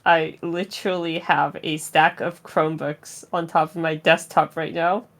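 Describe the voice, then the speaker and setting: A woman chatting casually about devices on a live voice call, full of fillers and quick laughs. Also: low-pitched, flat delivery, fairly bright tone. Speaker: jailbreak developer on a stream. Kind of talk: casual tech chat.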